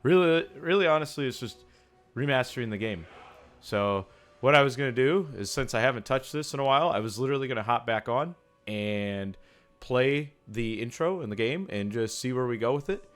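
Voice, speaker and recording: A young man with a raspy, sore-sounding voice, casually commenting on his gameplay, often breaking into excited yelps between low mumbles. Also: a little fast, faint music behind. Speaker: gaming YouTuber.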